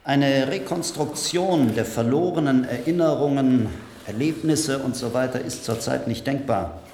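An actor playing a grave, measured scientist who speaks formally and deliberately, giving a briefing in a radio play. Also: male voice, mid-range voice, a little mellow, natural voice. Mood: matter-of-fact. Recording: echoey hall with long reverb, faint noise.

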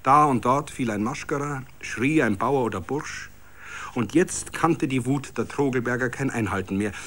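A male storyteller with a Bavarian accent reads literary prose for radio. His pitch sits in the middle range, and the delivery is scripted, dramatic narration. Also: moderate pace, fairly bright tone.

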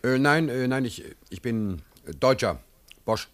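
An actor playing a cheeky, naive-seeming German vagabond prisoner in a radio play, speaking in a folksy, hesitant, deferential yet impudent way. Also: male voice, medium pitch, expressive, unhurried, fairly bright tone. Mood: hesitant, artful.

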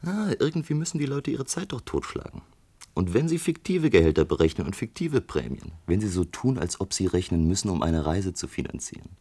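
Brooding jobless electrician in a radio drama, really low husky voice, sardonic. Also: male voice, big pitch swings, a little fast, dark tone, exaggerated voice. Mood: bitter. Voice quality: deep, husky. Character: brooding, disillusioned unemployed electrician drinking at a bar. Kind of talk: German science-fiction radio play acting.

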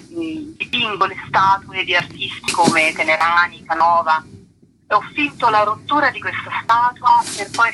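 A woman, an artist explaining their work in an interview in a reflective, searching way, often halting and repeating words. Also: medium pitch, pushed and bright, slight background noise, rough recording.

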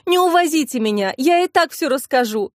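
Woman acting out a hysterical, sobbing young woman, high-pitched. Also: animated, normal speed, dry recording, clean studio sound. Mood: hysterical, confessing. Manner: shrill, pleading outbursts. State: crying. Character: hysterical frightened young woman, sobbing accused press secretary.

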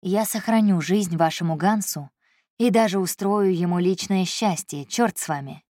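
A woman's voice: lowish voice, moderate pace, dark tone, no room sound, studio quality, close to an everyday voice. A woman voicing a domineering, hot-tempered wealthy employer who speaks curtly and coldly. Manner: curt, commanding, cold.

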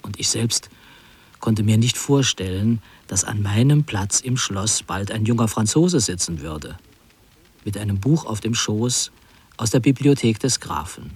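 Husky reflective male narrator. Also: on the low side, lively intonation, measured pace, a little mellow. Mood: wry, reflective. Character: man recalling his wartime youth, wry, reflective narrator. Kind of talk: literary radio drama narration, scripted.

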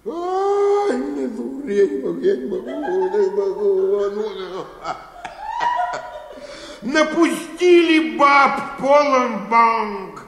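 Exasperated, spluttering male clerk; high irritable voice, comic radio drama, roomy reverb. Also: expressive, very slow, a bit bright, full character voice. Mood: exasperated despair. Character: irascible, exasperated male bank clerk, grouchy and threatening. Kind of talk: comic radio play acting.

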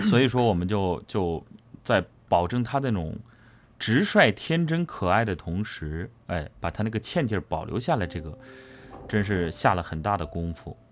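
A man's voice: on the low side, expressive, normal speed, a little hiss or hum. A man, a voice actor, giving a casual, explanatory interview answer and repeating phrases for emphasis. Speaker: voice actor interviewed about his character.

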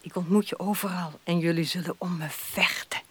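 An actress playing a seductive, scornful unfaithful woman in a radio drama, breathy and often whispering, coaxing and taunting. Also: fairly low pitch, steady tone, fairly quick. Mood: intimate, coaxing. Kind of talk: Dutch radio drama acting. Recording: hissy radio drama recording.

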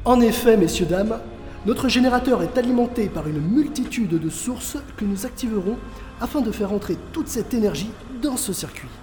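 A man's voice: sits high, expressive, moderate pace, mellow. Scheming, patriotic physicist male actor, theatrical comedic radio-play delivery with music underneath. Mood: grand presenting. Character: Louis, proud, scheming French male physicist. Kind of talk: comedic audio drama acting.

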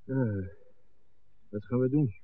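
A man's voice: medium pitch, slow. An actor playing an unsure male crook's sidekick who questions and defers, in a Dutch radio drama on an old recording. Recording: distant, narrow-band old radio recording.

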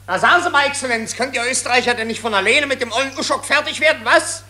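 An actor playing a brash, cocky Prussian lieutenant with clipped, brisk delivery and a Prussian accent in a satirical radio play. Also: high-pitched, echoey room, background noise, lightly acted. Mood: impatient, cocky.

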